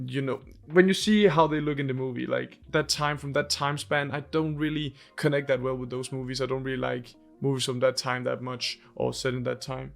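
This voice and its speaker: Young Danish man, gravelly, rambling review. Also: mid-range voice, a bit bright. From Denmark. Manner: rambling, searches for English words, many restarts. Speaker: host. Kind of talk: casual movie review.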